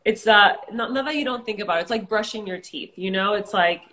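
A woman's voice: medium pitch, a little fast, fairly bright tone. A woman from Tijuana, Mexico, whose first language is Spanish, chatting casually as a guest on a live stream, full of "like" fillers. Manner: chatty, lots of "like" fillers, laughs easily. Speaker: fitness and wellness creator, guest.

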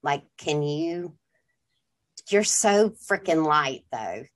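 Low, slow-talking woman in earnest chat. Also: animated. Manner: reflective, earnest, candid. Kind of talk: casual conversation.

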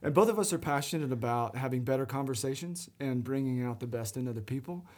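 A man's voice: medium pitch, lively intonation, fast talker, a little mellow. A man hosting a podcast and interviewing a guest with an upbeat, polished delivery. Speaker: podcast host, life coach. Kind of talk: scripted intro then interview.

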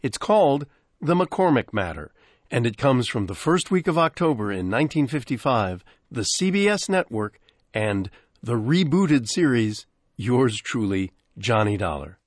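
A man's voice: mid-range voice, sing-song, measured pace, no echo, clean studio sound. An American man hosting a radio show, reading a scripted introduction to vintage programs. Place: dry broadcast studio.

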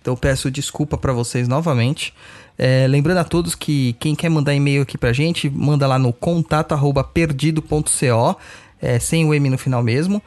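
A man's voice: mid-range voice, brisk, a little mellow. A man with a Brazilian Portuguese accent hosting a podcast, chatting informally with his nose stuffed up from a cold. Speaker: podcast host. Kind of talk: casual podcast update.

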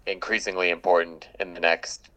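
A man's voice: low-pitched, little pitch movement, normal speed, bright, forceful tone, poor audio. A man hosting a casual conversation, talking thoughtfully and hesitating with fillers.

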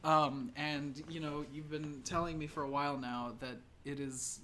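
Female podcast host. Low register, dry and informal, faint noise behind her. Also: steady tone, moderate pace, a bit bright. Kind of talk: casual podcast chat.

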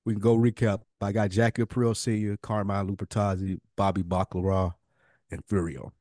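A man's voice: lowish voice, flat delivery, fairly quick, a little mellow, no echo, clean studio sound. A man co-hosting a podcast in casual banter, talking in a slangy, profane way. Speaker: podcast co-host. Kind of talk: casual fantasy-draft banter.

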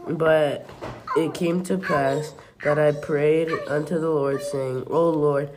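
A young reader reading scripture aloud haltingly, stumbling over words and correcting them. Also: mid-range voice, steady tone. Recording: noisy home recording.

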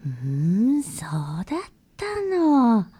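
A woman acting an anxious, doting grandmother in a radio drama, with a breathy voice. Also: mid-range voice, sing-song, slow, mellow, exaggerated voice. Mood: anxious, doubtful. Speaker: amateur radio drama actor.

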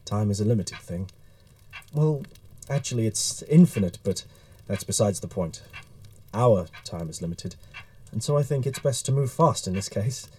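Casting: male actor as awkward, earnest Victorian man of letters, soft dark tone, lively melody, formal and wry, for audio drama. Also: fairly low pitch, normal speed, pauses often, no echo. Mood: friendly, wry.

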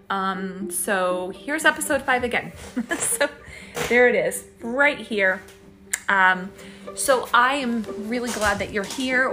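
Middle-aged woman, podcast host, loose rambling chat with fillers, boom mic, soft music bed. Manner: chatty and self-deprecating, with many fillers and laughs. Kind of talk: casual solo podcast chat. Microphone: boom microphone.

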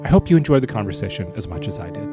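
An American man with a slightly husky voice, speaking as the host of an interview show. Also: fairly low pitch, sing-song, very fast, over music. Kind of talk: scripted show introduction and interviewing.

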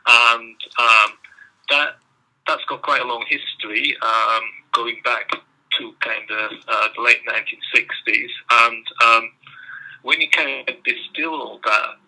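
A man's voice: mid-range voice, measured pace, sharp tone, poor audio. A middle-aged man from Belgium with a Belgian accent, answering interview questions in a hesitant way with many ums. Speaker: guest researcher.

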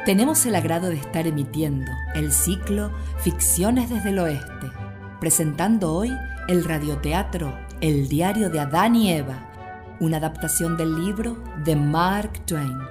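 Woman radio drama announcer, slow pace, lowish pitch, scripted introduction, music clearly behind. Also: expressive.

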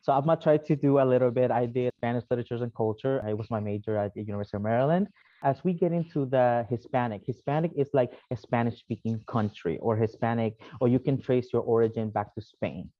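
A man from El Salvador answers interview questions in a relaxed, searching way, padding his thoughts with "right?" and "you know". The recording is dry and somewhat dull, like a lo-fi remote mic. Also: medium pitch, fairly quick, no echo. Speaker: podcast guest, alumni network vice president.

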